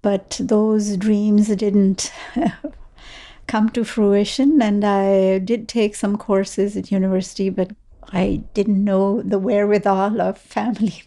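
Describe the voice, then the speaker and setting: Older lady from kashmir telling her story, gravelly low-key voice, lots of uh and restarts. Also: dark tone. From Kashmir, India. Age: 70s. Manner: reflective, with frequent fillers and restarts. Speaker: interviewee. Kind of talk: personal storytelling.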